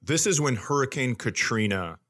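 A man sharing comic anecdotes in casual podcast chat, with a halting, wry way of talking. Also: mid-range voice, expressive, fairly bright tone. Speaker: comedian, podcast co-host.

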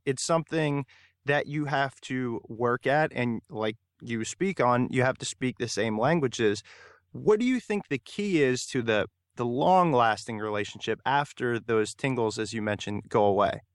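Young adult male podcast host, mid-pitch, casual and reflective, dry close sound. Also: dry recording. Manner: casual, thoughtful, personal asides. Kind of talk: interview questions.

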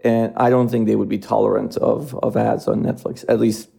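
A man in his thirties who grew up in Iran, answering interview questions in a measured way with frequent fillers. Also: lowish voice, little pitch movement, gravelly, dark tone, slightly rough. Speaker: streaming company executive.

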